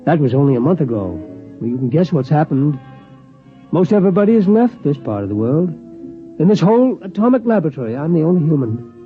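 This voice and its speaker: Plainspoken american night guard, rambly n animated, old radio drama, muffled w faint music. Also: male voice, a little high, fairly quick. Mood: resigned, confiding. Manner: plainspoken, homespun, hesitant. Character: folksy, rambling male laboratory security guard. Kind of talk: 1950s radio drama narration and acting. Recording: dull vintage radio, music behind.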